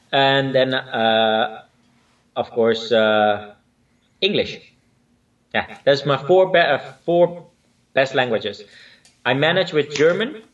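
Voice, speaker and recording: A man's voice: mid-range voice, distant mic, highs cut off. A man from Spain who grew up with Spanish and Dutch speaks English as a guest in a casual interview. He talks hesitantly, with many fillers and restarts. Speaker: line dance instructor, guest.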